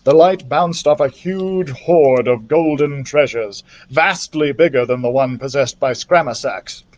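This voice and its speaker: A man's voice: medium pitch, a little slow, darkish tone, lo-fi sound. A man reading a children's adventure story aloud as a library storytime reader, with careful, dramatic phrasing. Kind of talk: expressive scripted storybook narration.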